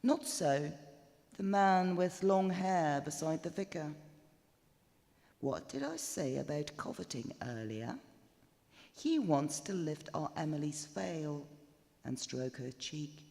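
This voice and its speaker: A man narrates a ghost story live with a very slow, measured delivery. His voice sits a little high and is dramatic and deliberate, carried on the long echo of a chapel. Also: expressive, vocal fry, darkish tone. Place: indoors, stone chapel. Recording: reverberant chapel live recording.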